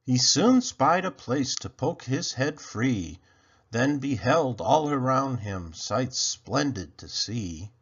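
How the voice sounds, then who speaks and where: A man reading a rhyming picture book aloud to children in a theatrical, deliberate way. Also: mid-range voice, animated, slightly rough, highs cut off. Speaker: children's storybook reader.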